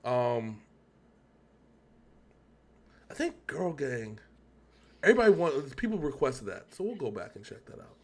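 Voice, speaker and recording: A man's voice: mid-range voice, sing-song, moderate pace. A man chatting casually as co-host of a reaction show, rambling and often correcting himself as he goes. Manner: rambling, talkative, self-correcting.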